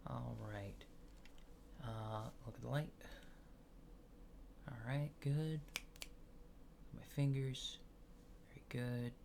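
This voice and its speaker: A man performs an ASMR exam roleplay in a soft, hushed voice with a gravelly creak. He murmurs slowly and hesitantly, slipping toward a whisper at times. Clear background noise sits behind him. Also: medium pitch. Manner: murmured, hesitant, unhurried short instructions. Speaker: ASMR performer playing an examiner.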